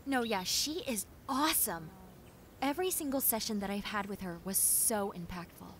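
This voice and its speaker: A woman playing a friendly, clumsy young female zombie in a roleplay audio drama, talking in a chatty, expressive, rambling way. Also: medium pitch, normal speed, noisy background, lightly acted. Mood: wistful, grateful. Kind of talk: roleplay audio drama, conversational monologue addressed to listener.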